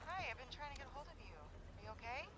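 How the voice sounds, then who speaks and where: An actress playing a caring adult wife in a video game, gently checking on her husband. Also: sits high, sing-song, quick pace, background noise. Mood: concerned. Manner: concerned, gentle.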